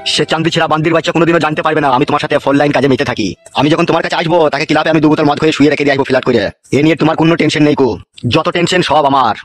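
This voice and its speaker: Cartoon villain for a man to play: swaggering male local goon, fast delivery, leering and threatening. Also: on the high side, no room sound, soft background music, close to an everyday voice. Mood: lecherous gloating. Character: lecherous, menacing male neighbourhood thug, boastful. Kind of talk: comedy cartoon villain dialogue.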